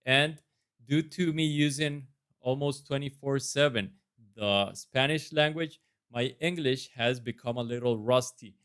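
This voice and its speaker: A man's voice: mid-range voice, measured pace, fairly bright tone, clean tone. A man in his thirties with a Costa Rican accent, earnestly and self-assuredly practicing his answers to residency interview questions on camera. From Costa Rica. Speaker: residency applicant.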